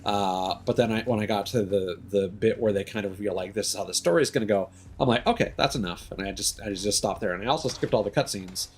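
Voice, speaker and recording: A man's voice: on the low side, fast talker, hardly any pauses, slight background noise. A young man streaming a game, chatting casually with lots of fillers. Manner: rambling, many fillers, reads item text aloud. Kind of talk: casual gameplay commentary.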